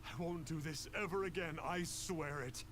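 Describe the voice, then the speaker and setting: A man's voice: fairly high pitch, normal speed, background noise, lightly acted. A man playing a pompous, cowardly fake exorcist. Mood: pleading. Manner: grandiose, archaic self-address, then pleading. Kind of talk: game cutscene voice acting. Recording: game audio with music behind.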